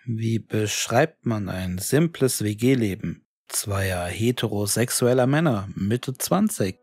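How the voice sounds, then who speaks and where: Slow-paced man narrating a horror story. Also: medium pitch, darkish tone, slightly rough. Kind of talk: scripted creepypasta narration.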